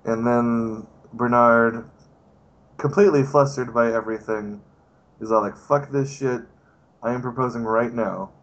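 A man's voice: medium pitch, fairly even intonation, a little slow, muffled recording. A man hosting a podcast, reading a film plot summary aloud and riffing on it with swearing and laughter. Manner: swears often, laughs, riffs on what he reads. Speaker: podcast host.

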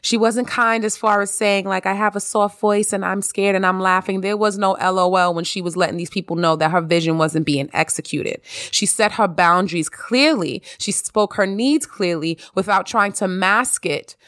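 A married woman hosting her own podcast, talking in an expressive, emphatic monologue with plenty of repetition. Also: medium pitch, moderate pace, few pauses, no echo, studio quality. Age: adult, married. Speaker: podcast host. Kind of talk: casual monologue.

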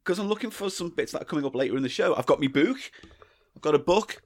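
A man's voice: fairly high pitch, animated, quick pace. Teasing man, playful banter. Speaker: podcast co-host. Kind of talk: casual banter.

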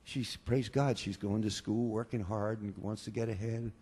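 A man's voice: fairly low pitch, fairly even intonation, normal speed, breathy edge. Fervent man preaching in an echoing hall. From United States. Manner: preaching with emphatic hallelujahs, swinging between quiet talk and loud fervor. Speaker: pastor. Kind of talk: sermon.

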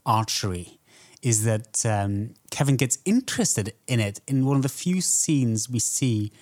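A man's voice: mid-range voice, lively intonation, unhurried, darkish tone. Man casually discussing film, thoughtful and hesitant. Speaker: film podcast co-host.

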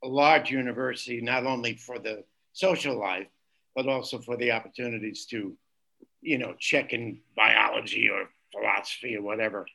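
A man co-hosting a radio interview, asking short questions and giving quick acknowledgements. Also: medium pitch, steady tone, creaky, bright, forceful tone. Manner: brief backchannel replies, asks short questions. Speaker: radio show co-host.

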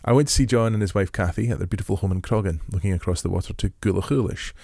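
Male podcast host, low voice, dry close sound, gentle and relaxed in his questions. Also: racing, vocal fry, no echo. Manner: gentle, descriptive intro, then relaxed questions. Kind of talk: podcast interview. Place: rural home.

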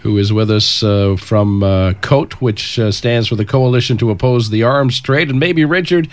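A man hosting a radio talk show, speaking in a halting way with many fillers. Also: medium pitch, no echo. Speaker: radio host. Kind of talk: radio interview. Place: radio studio.

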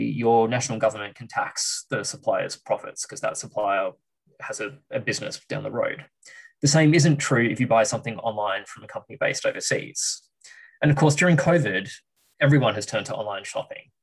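A man in his thirties with an Australian accent, explaining policy as a podcast guest in a measured way, with frequent ums and uhs. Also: fairly low pitch, creaky, breathy edge, poor audio. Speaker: research fellow, podcast guest.

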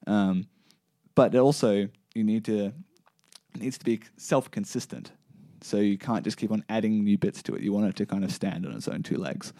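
A man's voice: fairly low pitch, normal speed, dark tone, no room sound. A man co-hosting a casual science chat, reasoning aloud thoughtfully and often restarting his sentences. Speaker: podcast co-host.

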